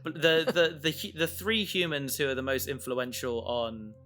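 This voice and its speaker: Guy cohosting a podcast, bright forward voice, rambly and stop-start, music underneath. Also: a little high, fairly even intonation. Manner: rambling, halting, self-interrupting. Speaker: podcast co-host and audio drama creator. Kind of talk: casual podcast chat.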